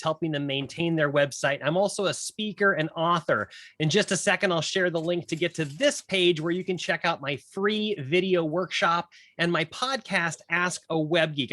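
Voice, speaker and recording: A man delivering a scripted, slogan-led business pitch on a video call. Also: pushed and bright, no echo. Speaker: web developer and podcaster pitching.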